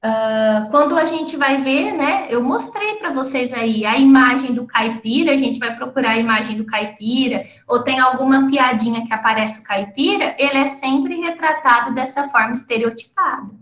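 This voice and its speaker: A woman's voice: sits high, normal speed, hardly any pauses, fairly bright tone, echoey room. A woman giving an academic lecture to teachers, explaining step by step and often checking in with tag questions. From not from the city of the event. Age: adult with completed schooling. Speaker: lecturer and researcher.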